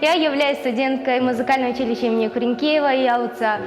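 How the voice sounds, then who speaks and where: A woman's voice: high-pitched, fairly even intonation, quick pace. An eighteen-year-old woman from Kyrgyzstan introducing herself as a talent show contestant. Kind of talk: self-introduction and audition. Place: talent show audition, indoors. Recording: long reverb, music in the mix.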